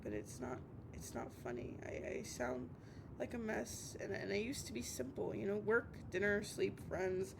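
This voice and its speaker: A woman's voice: animated, vocal fry. A woman playing an obsessive, heartbroken young woman on a late-night call, stammering and repeating herself in a dramatic audio roleplay monologue. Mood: rambling, wounded. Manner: halting, stammering, repeating words. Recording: noisy with low rumble.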